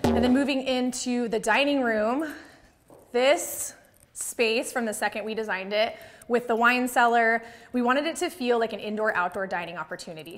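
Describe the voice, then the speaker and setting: An American woman in her thirties giving a casual, enthusiastic home tour as an interior designer, speaking conversationally into a lapel mic. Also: fairly high pitch. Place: large open-plan house interior with hard floors. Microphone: clip-on lapel mic.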